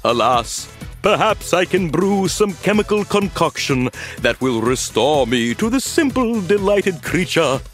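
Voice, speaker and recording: A man's voice: fairly high pitch, sing-song, unhurried, faint music behind. A man voicing a brainy talking dog that speaks in a pompous, old-fashioned way. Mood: lofty. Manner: pompous, archaic phrasing.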